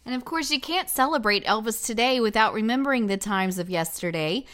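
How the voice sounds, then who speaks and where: A woman's voice: fairly high pitch, expressive, a little fast, a bit bright. A woman podcast host reading a scripted promotional announcement. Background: faint music.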